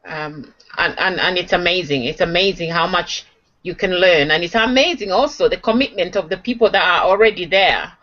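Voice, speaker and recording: A woman's voice: fairly low pitch, normal speed, fairly bright tone. A woman speaking enthusiastically on a live video call, encouraging others with many fillers. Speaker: online marketing member. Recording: dull lo-fi video call audio.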